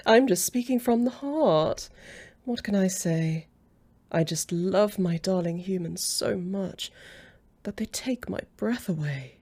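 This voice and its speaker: Woman playing a slow, mellow, haughty female vampire. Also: mid-range voice, lively intonation, breathy edge, heavily acted. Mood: flirtatious, haughty. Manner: drawling, taunting, chuckling condescension. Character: haughty, possessive aristocratic female vampire, mocking and flirtatious. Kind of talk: roleplay ASMR audio drama.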